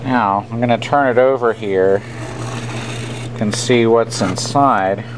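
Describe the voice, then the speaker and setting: A man's voice: mid-range voice, slow pace. A man casually and matter-of-factly explaining a hands-on repair in an unscripted way as he works at an indoor bench. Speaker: radio repairer presenting his work. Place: indoor workbench. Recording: steady hum and room noise.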